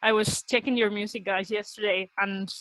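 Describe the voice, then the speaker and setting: A woman's voice: mid-range voice, steady tone, moderate pace, a bit bright, no echo, poor audio. A woman hosting a podcast, asking questions in a friendly, enthusiastic way with frequent laughter. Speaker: podcast host. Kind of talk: casual interview.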